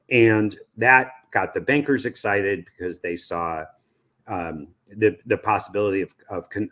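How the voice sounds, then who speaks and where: An American man speaking as an expert interview guest, explaining things step by step. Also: low voice. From United States. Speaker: media research executive, interview guest.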